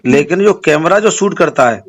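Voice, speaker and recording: A man's voice: on the high side, animated, moderate pace. A man in a phone conversation, speaking formally and admonishingly as an activist, repeating phrases for emphasis. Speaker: religious organisation activist. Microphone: phone call. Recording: phone call recording with clear noise.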